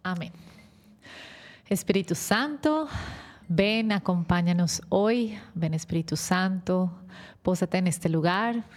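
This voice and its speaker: A woman hosts a faith-based talk show in a gentle, devout, rambling way. Her voice sits a bit low, and she moves easily between chatting and praying. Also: expressive, slow, pauses often. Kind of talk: warm faith-based interview with prayer.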